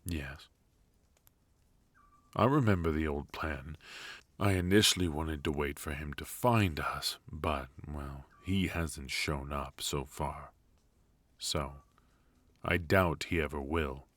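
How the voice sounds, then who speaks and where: A man playing a brooding, protective knight in an intimate ASMR roleplay, speaking in a halting, earnest, hushed way. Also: extremely low pitch, no room sound, exaggerated voice. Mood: pensive, uncertain. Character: brooding, protective male knight devoted to an outlawed royal. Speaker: voice actor.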